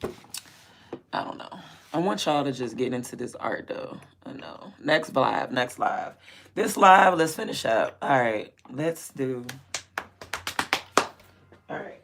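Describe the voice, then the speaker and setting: Raspy, gravelly woman chatting on a livestream. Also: rumbling low, animated, slow, pauses often. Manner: candid, rambling, drifts between loud and murmured asides. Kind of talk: casual livestream chat.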